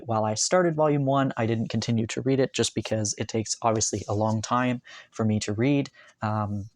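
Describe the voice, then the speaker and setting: A man's voice: fairly low pitch, a little mellow, no room sound. Chatty man full of ums, dry close sound. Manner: rambling, many ums and uhs, enthusiastic. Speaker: manga collector vlogger. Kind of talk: casual haul video talk. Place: bedroom, indoors.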